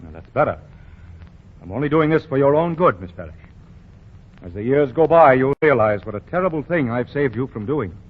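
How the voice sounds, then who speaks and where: An actor playing an indignant, self-righteous department store boss who lectures emphatically in a 1940s radio comedy. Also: male voice, mid-range voice, animated, a little fast, natural voice. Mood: softened, sympathetic. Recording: dull lo-fi 1940 radio recording.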